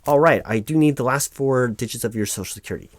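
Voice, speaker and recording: A man playing a patient, officious human resources officer, haltingly reading out form fields in a one-sided ASMR roleplay. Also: mid-range voice, no room sound, lightly acted. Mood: businesslike. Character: officious, patient male HR officer doing paperwork. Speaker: human resources interviewer filling out forms.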